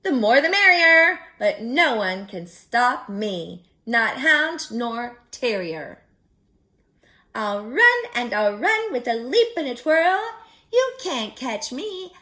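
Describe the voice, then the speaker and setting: A woman's voice: fairly high pitch, big pitch swings, takes it slowly, bright, forceful tone, voice pushed up, full character voice. A woman voicing a boastful, cheeky little gingerbread girl in teasing sing-song rhymes for a storybook.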